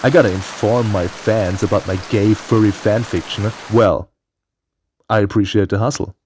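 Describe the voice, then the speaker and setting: A man's voice: fairly low pitch, fairly even intonation, normal speed, a little mellow. A man delivering a comedic scripted video game review in a theatrical, profane and playful way. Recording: music behind the voice.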